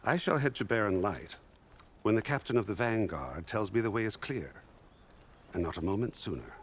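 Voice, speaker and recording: Pompous, theatrically swooping scholar priest. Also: male voice, lowish voice, expressive, normal speed, slight background noise. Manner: haughty, theatrical, archaic formal diction. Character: pompous, indignant, self-important male priest-scholar. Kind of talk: video game cutscene acting.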